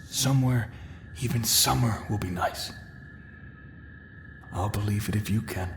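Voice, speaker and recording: An actor playing an eerie, soothing presence in an audio drama, murmuring softly and hopefully. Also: male voice, lowish voice, a little slow, slight background noise.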